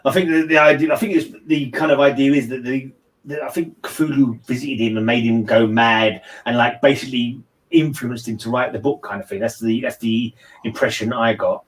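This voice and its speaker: Male host, medium-pitched voice, informal book chat that loops back over its own phrases. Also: steady tone, normal speed, distant mic, poor audio. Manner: rambling, repeats phrases. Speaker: host of a livestream read-along channel. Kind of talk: casual book discussion.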